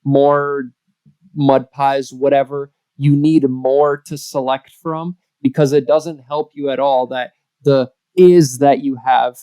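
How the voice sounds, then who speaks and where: A man co-hosting a podcast, making emphatic points in a playful casual chat, often rushing his words. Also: mid-range voice, level tone, warm tone, clean tone, no room sound, rough recording. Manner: emphatic, speeds up in bursts, playful. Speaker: podcast co-host.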